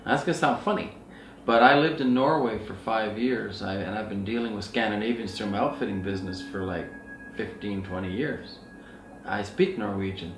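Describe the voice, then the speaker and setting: A man's voice: fairly low pitch, normal speed, background noise. A middle-aged man talking casually and earnestly in a rambling, conversational storytelling way. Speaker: outdoor outfitter and Sasquatch researcher. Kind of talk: casual rambling anecdotal talk. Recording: roomy echo, distant mic, faint music.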